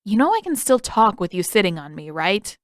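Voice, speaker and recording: A woman's voice: mid-range voice, big pitch swings, a little fast, dry recording, clean studio sound, lightly acted. A woman voicing a friendly, guileless young boy in an audiobook story, earnest and artless. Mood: innocent, puzzled.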